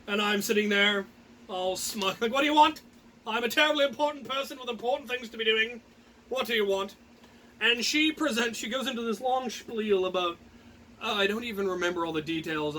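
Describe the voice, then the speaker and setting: A man with a high-pitched, bright voice spins a personal story. His delivery is animated and theatrical, with a grandiose flair. There is faint noise behind him. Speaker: storyteller and host.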